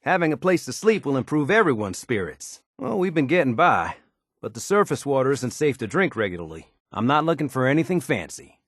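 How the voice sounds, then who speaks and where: A man's voice: mid-range voice, sing-song, a little fast, no echo, clean studio sound. A man playing a down-to-earth, folksy and modest handyman in a wasteland video game, with easygoing, colloquial phrasing. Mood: practical. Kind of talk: video game character dialogue.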